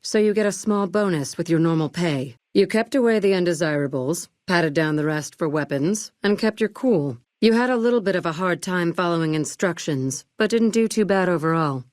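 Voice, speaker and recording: A cool, calculating businesswoman from a video game, acted by a woman, speaks in a low register. Her delivery is measured and curt, with a condescending, businesslike edge. The recording is dry, with no room around the voice. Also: lively intonation, a little mellow, dry recording, lightly acted. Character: cool, ruthless businesswoman running a family arms business. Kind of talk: video game character dialogue.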